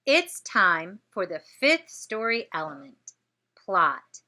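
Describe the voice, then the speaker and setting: A woman teacher presenting a step-by-step lesson for children, with deliberate, encouraging delivery. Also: medium pitch, sing-song, pushed and bright. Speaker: teacher presenting an educational show.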